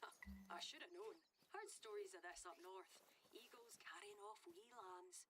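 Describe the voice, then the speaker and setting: A woman's voice: very high-pitched, wildly swooping pitch, moderate pace, creaky, noisy background, full character voice. An actress playing a breezy Scottish countrywoman in a video game, chatty, colloquial and wry as she hands out errands. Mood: wry, easygoing. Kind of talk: game dialogue.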